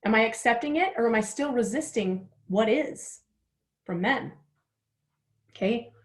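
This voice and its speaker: A forty-year-old woman runs a guided writing workshop in a chatty, candid way, often laughing at herself. Her voice sits a little higher than most women's. She talks to her listeners directly and keeps things informal. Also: expressive, measured pace. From Utah, United States. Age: 40s. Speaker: workshop host.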